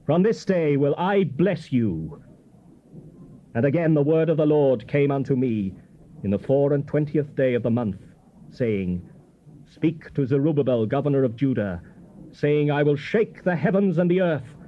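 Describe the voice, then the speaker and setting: A commanding bible prophet, stately and declamatory, kinda high voice, muffled old cassette. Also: male voice, expressive, a little slow. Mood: solemn, urgent. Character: solemn, commanding male Old Testament prophet. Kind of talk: dramatized King James scripture reading. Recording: dull, noisy old cassette tape.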